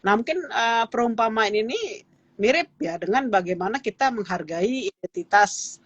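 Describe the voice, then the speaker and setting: A woman's voice: on the high side, expressive, moderate pace, breathy edge, dry recording, dull audio. A woman in her thirties, a guest expert, explaining heritage in an interview with many "eh" and "ya" fillers.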